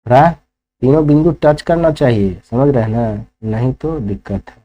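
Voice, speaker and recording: A man's voice: mid-range voice, expressive, moderate pace, mellow, muffled recording, slight background noise. A man teaching maths step by step, instructing and often checking understanding with rhetorical questions. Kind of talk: classroom lesson, working problems step by step.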